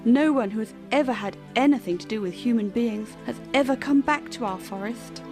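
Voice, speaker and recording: A woman voicing a sorrowful, anxious old tree in a children's storybook, speaking in a hushed, dramatic manner. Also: fairly high pitch, brisk, soft background music, natural voice. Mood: dismayed.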